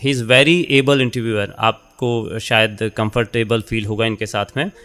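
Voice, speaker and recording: An actor playing a warm, talkative middle-aged senior editor in a radio drama, encouraging and conversational, mixing in English phrases. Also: male voice, on the low side, steady tone, slow. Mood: welcoming.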